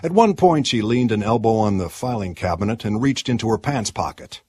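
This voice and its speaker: A man narrating first-person detective fiction in a measured, deliberate storytelling manner. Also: fairly low pitch, lively intonation, mellow, slightly rough, no echo. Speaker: audiobook narrator.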